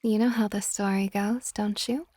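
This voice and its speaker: A woman voicing an ancient, possessive but tender water dragon goddess in a soft, intimate ASMR romance roleplay, often slipping toward a whisper. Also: medium pitch, fairly even intonation, moderate pace, no echo, close to an everyday voice. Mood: teasing, soothing.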